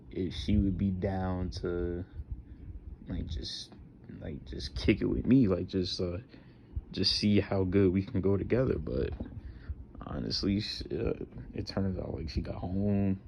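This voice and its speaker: A man's voice: deep voice, steady tone, unhurried, vocal fry, a little mellow, a little hiss or hum. A man in his twenties hosting a solo podcast, talking earnestly with slang, repeated phrases and many fillers. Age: 20s. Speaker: podcast host.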